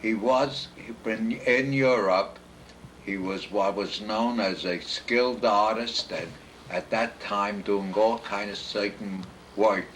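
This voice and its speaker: An older man in his eighties, answering family questions in a slow, halting, deliberate way at home. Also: fairly low pitch, fairly bright tone, a little hiss or hum, rough recording. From United States, born in Poland. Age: 80s. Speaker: interviewee, family elder. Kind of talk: home video family interview. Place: home in a retirement community.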